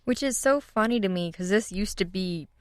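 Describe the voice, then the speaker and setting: A woman's voice: medium pitch, normal speed, dry recording. A woman speaking as a guest in a casual, conversational podcast interview. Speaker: podcast guest, audio drama writers' room leader.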